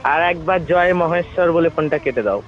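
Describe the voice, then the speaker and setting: A man's voice: on the high side, lightly acted. A man voicing the god Shiva as a bossy, teasing, mock-grand prank caller in an improvised comic phone call. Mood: fatherly, coaxing. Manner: mock-grand, teasing, scolding, drawn-out words. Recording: muffled, noisy phone call recording.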